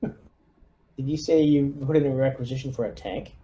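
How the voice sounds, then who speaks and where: A man's voice: mid-range voice, normal speed. A man chatting casually as a player at a tabletop game. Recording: faint background noise.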